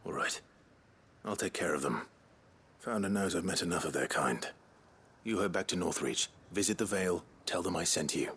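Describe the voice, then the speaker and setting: A man's voice: low voice, normal speed, a bit bright, slightly rough, full character voice. An actor playing a grim, resolute noble swordsman in a video game, restrained and terse. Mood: guarded, steady. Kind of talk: video game cutscene acting.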